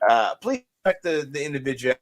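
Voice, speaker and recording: A man with a raspy voice co-hosting a casual fantasy sports show, joking around and talking in loose restarts. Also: mid-range voice, a little fast. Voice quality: raspy today. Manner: animated, jokey, rambling with restarts. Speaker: co-host.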